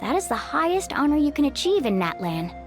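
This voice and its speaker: A woman's voice: high-pitched, very animated, fairly quick. A woman playing an upbeat, encouraging young woman warrior in an anime game, with a youthful, confident voice. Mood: proud. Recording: music behind.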